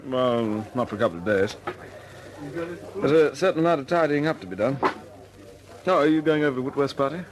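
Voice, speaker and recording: A man plays a composed, brisk British RAF commander. His voice moves freely up and down in old radio drama style. Chatter from a crowd is clearly heard behind him. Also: mid-range voice, animated, natural voice, poor audio. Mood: sober, matter-of-fact.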